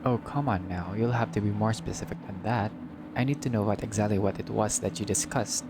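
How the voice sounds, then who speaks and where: A man's voice: lowish voice, brisk, noisy background, lightly acted. A man voicing a menacing, smug gangster boss from a visual novel, with domineering, mock-polite threats. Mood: commanding, mocking. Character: menacing, bigoted male crime boss, smug and violent. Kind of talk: visual novel villain dialogue read aloud. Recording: loud music under the voice.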